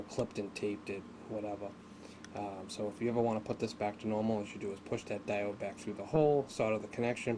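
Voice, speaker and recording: A man giving an unscripted hands-on tutorial, speaking haltingly as he thinks aloud while working, as a hobbyist would. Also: on the low side. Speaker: hobbyist demonstrating an electronics repair. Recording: noticeable background noise.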